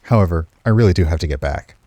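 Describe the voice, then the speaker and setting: An amused, secretive male dancer from a story game, read out by a man. He teases and evades in a mellow, low voice with a lively melody. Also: fast talker, no echo. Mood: apologetic, guarded.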